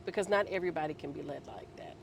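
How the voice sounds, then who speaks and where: A host in a casual conversation, agreeable and quick to chime in with short affirmations. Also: medium pitch, vocal fry. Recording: outdoor street noise.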